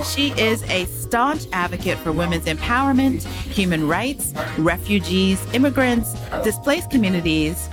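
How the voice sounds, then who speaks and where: Woman, podcast host, low-pitched, eager, chatty, music underneath. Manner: upbeat, excited, many fillers and restarts. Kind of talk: casual podcast interview. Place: small cafe.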